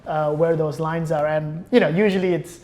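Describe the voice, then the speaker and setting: A man's voice: fairly high pitch, fairly even intonation, moderate pace, darkish tone. Reflective man in casual interview. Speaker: podcast guest.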